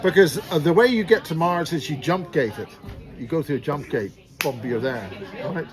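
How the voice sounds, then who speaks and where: A man with a Belfast accent holds forth in a rambling, emphatic way, his voice sitting a little high. The talk is casual and jokey at times. Loud crowd chatter fills the background of this outdoor recording. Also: moderate pace. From Northern Ireland. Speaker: speaker on secret space programs. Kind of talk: casual street conversation. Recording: loud crowd noise behind.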